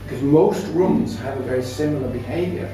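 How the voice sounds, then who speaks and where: A man's voice: medium pitch, brisk. A man explaining his design work in an unscripted interview, reflective and hesitant, often rephrasing mid-sentence. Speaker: audio company designer being interviewed. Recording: roomy echo with music behind.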